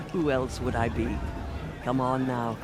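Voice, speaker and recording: A kindly old matriarch of a tribe in a video game. She is authoritative and wry, with a very animated, motherly delivery over clear outdoor noise. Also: mid-range voice, slow pace. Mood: wry, warm. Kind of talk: dramatic video game acting.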